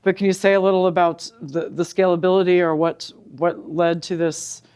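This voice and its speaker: Interviewer asking casual questions, kinda halting, hunts for words, middle pitch. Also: flat delivery, darkish tone, clear, resonant tone. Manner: tentative, halting, searching for words. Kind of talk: informal on-location interview questions. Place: exhibit room at an audio trade show.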